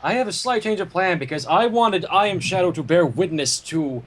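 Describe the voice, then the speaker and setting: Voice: mid-range voice, expressive, moderate pace, a little hiss or hum, poor audio. A theatrical, giggly host chatting with friends and reading fan fiction live, with a flair for dramatic announcements. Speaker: fanfiction reader and co-host. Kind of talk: casual group chat and live fanfic reading.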